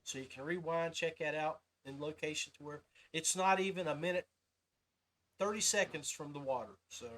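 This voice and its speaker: A man with a notably high-pitched voice hosts a casual podcast conversation. He talks in an informal, folksy way, like someone chatting with friends. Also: moderate pace, fairly bright tone. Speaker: podcast host. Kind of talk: casual interview chat.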